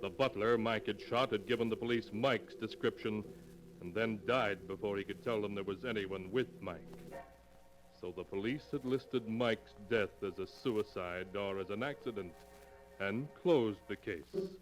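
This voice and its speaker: A man giving dramatic, measured, ominous scripted narration in 1940s radio style. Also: fairly low pitch, soft background music, low-quality recording. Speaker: narrator and announcer.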